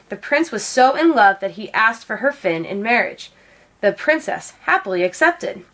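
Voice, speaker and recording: A woman reading a children's storybook aloud as an expressive narrator. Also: a little high. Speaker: storybook reader.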